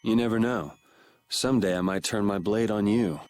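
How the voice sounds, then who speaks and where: A man's voice: lowish voice, moderate pace, a little mellow, dry recording, lightly acted. A man playing a cynical, defiant young vigilante swordsman in a dubbed anime game, with a blunt, sardonic delivery. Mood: quiet, testing.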